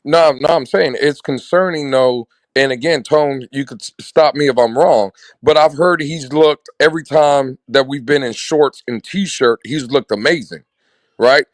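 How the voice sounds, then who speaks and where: A man's voice: medium pitch, unhurried, no echo. A man co-hosting a casual sports chat who argues his point and presses the others with questions. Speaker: podcast co-host.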